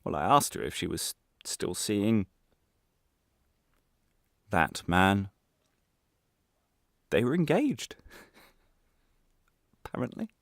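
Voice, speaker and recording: A man playing a wronged, quietly defiant ex-prisoner in a radio play monologue, confessional, wry and halting. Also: on the low side, no room sound, studio quality, full character voice.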